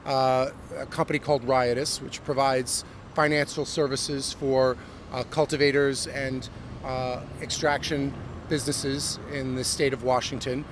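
Middle-aged American CEO, polished business pitch with filler words, outdoor street interview, traffic audible. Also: male voice, level tone, a little slow. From United States. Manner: fluent corporate pitch with frequent um and uh. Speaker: company CEO. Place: busy downtown street outdoors. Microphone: handheld mic held by interviewer. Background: city traffic.

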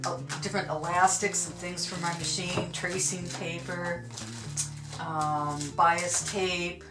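A woman's voice: on the low side, roomy sound. A woman in her fifties giving a casual, chatty tour of her home sewing room, with many ums and you-knows. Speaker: vlog co-host showing her sewing room.

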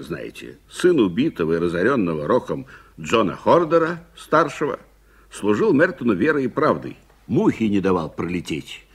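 A husky, impatient gentleman. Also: sits low, full character voice. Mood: earnest. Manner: insistent, exclamatory questioning. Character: impatient, hot-tempered gentleman pressing a detective for answers. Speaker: actor in a radio play. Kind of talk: 1980s Soviet radio drama acting.

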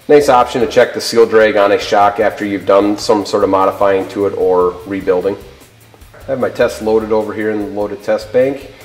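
Low-voiced man presenting in echoey room. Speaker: company product presenter. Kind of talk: product presentation and demonstration. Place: indoor workshop showroom. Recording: roomy echo, faint music behind.

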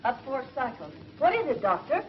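A woman's voice: high-pitched, very animated, normal speed. An actress playing an earnest young female scientific assistant in a 1950s television serial. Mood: alarmed, earnest. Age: young adult. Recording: muffled 1950s TV soundtrack, faint noise.

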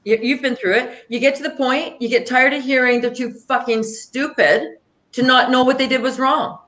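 Blunt woman with a deep, loud voice. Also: fairly even intonation, normal speed. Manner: blunt, emphatic. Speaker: energy healer guest. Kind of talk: casual chat. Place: home room, indoors. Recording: echoey room.

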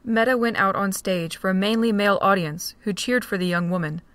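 A woman narrating a fan audio drama with a steady storytelling read. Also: medium pitch, a little fast, no echo. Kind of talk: scripted narration.

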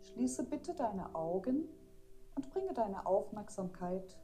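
A woman guiding a meditation in a gentle, deliberate, soothing manner. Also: mid-range voice, very animated. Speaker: meditation guide. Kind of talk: guided meditation narration. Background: meditation music.

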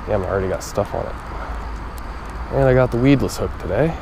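A man's voice: on the low side, animated, fairly quick, creaky. A young man talking casually to his viewers while he fishes at a pond, recorded on an action camera. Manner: chatty, talks to viewers while fishing. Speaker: fishing vlogger. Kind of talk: casual outdoor commentary. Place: outdoors at a pond. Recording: outdoor noise from a body camera.

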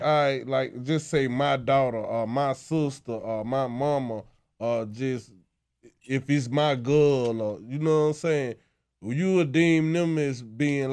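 A man's voice: medium pitch, a little mellow, clear, resonant tone. A man, laying out his reasoning in a laid-back way during casual chat in a studio. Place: small radio studio. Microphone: boom-arm studio mic.

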